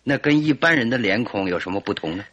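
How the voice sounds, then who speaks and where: An actor playing a shrewd, authoritative detective in a radio drama, deliberate and probing. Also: male voice, on the low side, lively intonation, fairly bright tone.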